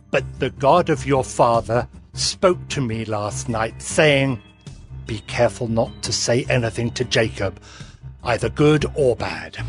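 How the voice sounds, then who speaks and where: An actor playing a wronged, accusing father in a dramatized audio Bible, theatrical and measured. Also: mid-range voice, close to an everyday voice. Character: Laban, wronged elder father, indignant and accusing.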